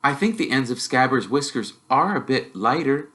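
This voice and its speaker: A man voicing a modest, curious young wizard boy in a quiet, tentative way. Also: mid-range voice, animated, moderate pace.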